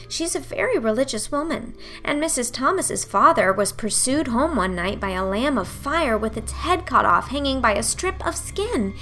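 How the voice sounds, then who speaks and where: A woman's voice: fairly high pitch, fairly bright tone, voice pushed up. A woman playing an imaginative, eager and easily frightened young girl in a storybook reading, breathless and theatrical.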